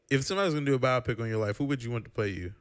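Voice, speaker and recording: A man's voice: mid-range voice, very fast, clear, resonant tone. A young man hosting a casual podcast, teasing his guest and steering the talk. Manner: teasing, relaxed, steers the topics. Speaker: podcast host. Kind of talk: casual chat.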